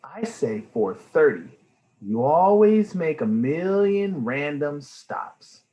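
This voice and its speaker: A man's voice: on the high side, big pitch swings, slow pace, lo-fi sound, pitched above the performer's own voice. A man voicing a smug, know-it-all young brother in a storybook, matter-of-fact and needling.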